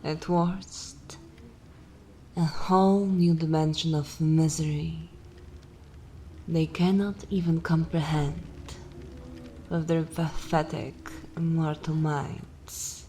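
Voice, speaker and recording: Slow, haughty ancient vampire mistress, acted by a woman. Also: low-pitched, steady tone, mellow, slight background noise. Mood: scornful. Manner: languid, theatrical, disdainful, lapsing into whispers. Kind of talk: ASMR dark fantasy roleplay.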